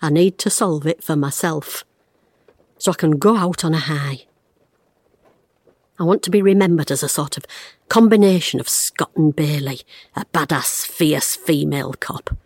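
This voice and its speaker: A woman's voice: deep voice, normal speed, long pauses, darkish tone, husky, no room sound. An actress, playing a wry, blunt retired woman detective in an audio drama, recorded close and dry. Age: 50s. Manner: wry, blunt, self-mocking, fraying under strain.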